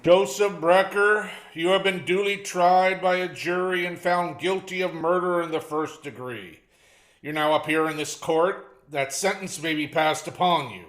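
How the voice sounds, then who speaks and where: Casting: male actor as solemn judge, fairly high voice, formal declamation, echoing room. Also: a little slow, a bit bright. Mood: stern. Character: stern, solemn male judge passing sentence. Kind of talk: old-time radio courtroom drama acting. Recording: echoey room sound.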